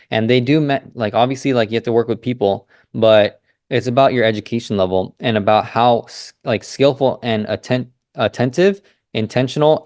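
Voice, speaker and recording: A young man hosting a business talk, speaking off the cuff in a loose, rambling way full of fillers and restarts. His voice sits in an ordinary middle pitch. The sound is dry and close, with no room behind it. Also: darkish tone, no echo. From United States. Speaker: business consultant host. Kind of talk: casual monologue.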